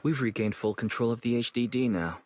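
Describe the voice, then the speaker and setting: An actor playing a calm, analytical, quietly cocky young man in a video game, with a husky voice. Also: medium pitch, brisk, soft background music, close to an everyday voice. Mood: satisfied. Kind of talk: English video game voice acting.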